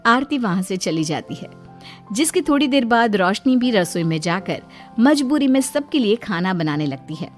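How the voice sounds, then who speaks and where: A woman's voice: medium pitch, very animated, moderate pace, soft background music. A woman narrating a scripted cartoon story as its storyteller.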